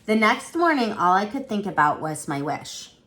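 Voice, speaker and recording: A woman reading a children's storybook aloud as the storyteller, with expressive, dramatic narration. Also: mid-range voice, normal speed.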